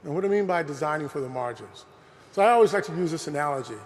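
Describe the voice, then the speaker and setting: A man's voice: fairly high pitch, lively intonation, racing. A man giving an earnest, conversational public talk, often saying "you know" as he speaks. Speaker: lecturer presenting a talk. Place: large museum hall. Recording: long hall reverb.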